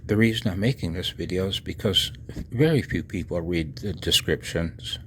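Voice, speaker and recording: A man in his sixties giving a personal voice-over announcement, halting and reflective, with a husky low voice. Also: fairly bright tone, a little hiss or hum. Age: 60s. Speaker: amateur radio hobbyist and video narrator. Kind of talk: personal voice-over announcement, partly read from own text.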